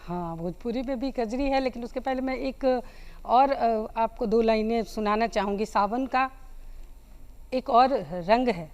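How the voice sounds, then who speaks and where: A woman from Bihar, a folk singer being interviewed, answering in a halting, reflective way. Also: a little high, a little mellow.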